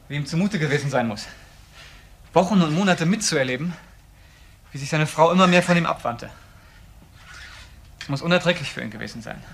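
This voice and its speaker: A man's voice: a little high, gravelly, a bit bright, a little hiss or hum. A man playing an obsessive, insistent accuser in a German crime radio drama, brooding over every point.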